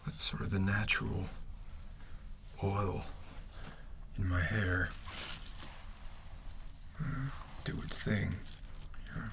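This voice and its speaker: Smug, menacing gang leader acted by a man, low creaky murmur, mock-kindly, close-mic ASMR roleplay. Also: background noise, exaggerated voice. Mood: casual, musing. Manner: slow, halting, intimate delivery with mock-kindly menace. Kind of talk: ASMR roleplay, soft speaking and whispering. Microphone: close ear-to-ear ASMR microphone.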